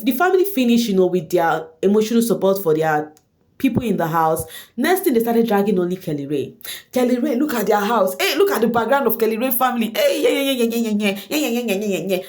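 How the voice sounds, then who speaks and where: Animated nigerian woman gossip vlogger. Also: medium pitch, fairly quick. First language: Igbo. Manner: animated, addresses viewers directly, mixes in Pidgin slang. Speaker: online reality show commentator. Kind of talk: casual gossip vlog commentary.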